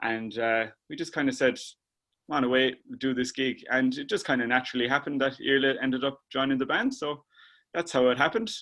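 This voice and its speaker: A man with an Irish accent, a band member chatting on a video call, easygoing and quick to correct himself with a laugh. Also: mid-range voice, a bit bright. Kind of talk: casual interview chat.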